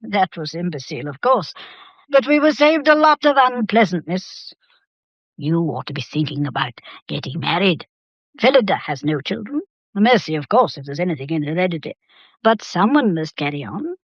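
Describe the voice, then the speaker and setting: A woman's voice: on the low side, very animated, normal speed, slightly rough, dry recording, heavily acted. Imperious old English grandmother. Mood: brisk, advising. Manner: brusque, haughty, old-fashioned. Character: imperious, sharp-tongued grandmother of nearly ninety. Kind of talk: radio drama acting. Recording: dull, muffled radio play recording.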